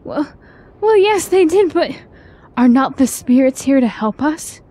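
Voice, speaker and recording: An actress playing an anxious, questioning traveler in a fantasy radio drama, with formal, earnest phrasing. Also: female voice, high-pitched, big pitch swings, a little slow, mellow. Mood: troubled, questioning.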